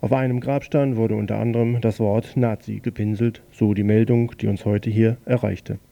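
A man reads a radio news bulletin with a rough, gravelly voice. His tone is very dark and mellow. He delivers it plainly, stumbling and correcting himself now and then. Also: fairly low pitch, flat delivery, dull audio. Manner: plain, slightly halting reading with self-corrections. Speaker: news reader on a local radio station.

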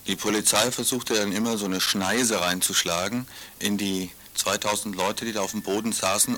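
Male radio presenter, deep voice with a bright edge, plain conversational narration. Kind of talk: retrospective narration.

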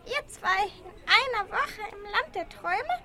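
A woman's voice: extremely high pitch, expressive, a little slow, sharp tone, soft background music, full character voice. A woman playing a lonely, fretful old grandmother in an amateur radio play, chatty, wistful and clinging. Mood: excited. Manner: wistful, chatty, clinging, addresses others as my child. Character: lonely, doting, fretful old granny dreaming of America.

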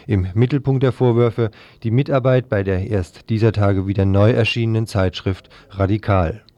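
A man's voice: lowish voice, fairly even intonation, moderate pace, mellow, no echo, muffled recording. A man presenting a scripted news report on the radio.

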